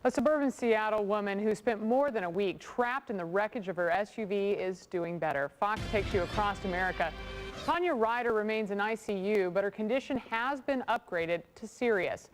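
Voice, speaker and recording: A woman's voice: medium pitch, moderate pace, faint music behind. A woman news anchor reading national stories from a script on a television newscast.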